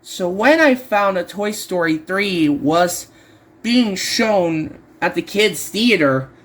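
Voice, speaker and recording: A man reading a horror story aloud as an amateur narrator, halting and often stumbling over and repeating words. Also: high-pitched, expressive, fairly bright tone.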